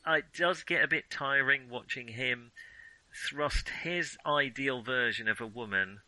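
Bright, close-miked man talking film. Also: mid-range voice, a little slow, no echo, low-quality recording. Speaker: film podcast co-host. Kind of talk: casual film discussion.

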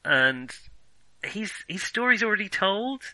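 A man's voice: high voice, very animated, measured pace, bright, forceful tone, no echo, rough recording. A man casually critiquing films in conversation, opinionated, often breaking off and restarting his thoughts as he goes. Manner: hesitant, self-interrupting, opinionated. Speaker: film discussion podcast host. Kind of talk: casual film criticism chat.

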